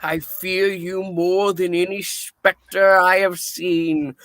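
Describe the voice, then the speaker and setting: Man playing high, bright, anxious old Ebenezer Scrooge. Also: level tone, slow, no echo, clean studio sound, heavily acted. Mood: fearful, resolved. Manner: dramatic, beseeching. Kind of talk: theatrical radio play reading.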